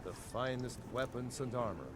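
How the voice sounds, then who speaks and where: A man acts out a fantasy video game blacksmith with a mid-pitched voice. He offers his wares in plain, businesslike fashion, heard through clearly noisy background ambience. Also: moderate pace, close to an everyday voice. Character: male blacksmith selling weapons and armor, plain-spoken. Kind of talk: scripted fantasy game dialogue. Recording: distant, noisy game audio.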